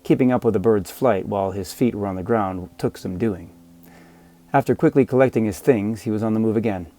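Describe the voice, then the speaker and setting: A man narrating scripted fiction as an audiobook reader, with measured, dramatic storytelling. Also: lowish voice, mellow.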